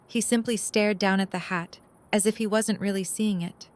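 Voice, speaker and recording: A woman's voice: medium pitch, fairly even intonation, moderate pace. A woman reading scripted story narration as the narrator of an audio drama.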